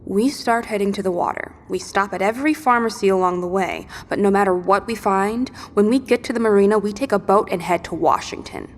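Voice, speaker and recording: A woman's voice: medium pitch, brisk, few pauses, lightly acted. Woman acting a blunt, stubborn survivor in reverb. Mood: determined. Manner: blunt, terse. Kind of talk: audio drama acting. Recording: reverberant room, faint noise.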